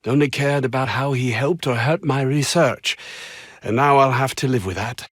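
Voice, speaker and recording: An actor playing a haughty, anxious, downtrodden scientist in a video game, husky and speaking in formal, wordy, theatrical phrases. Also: male voice, medium pitch, normal speed, no room sound, clean studio sound, close to an everyday voice. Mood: bitter, guilty. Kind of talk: video game character dialogue.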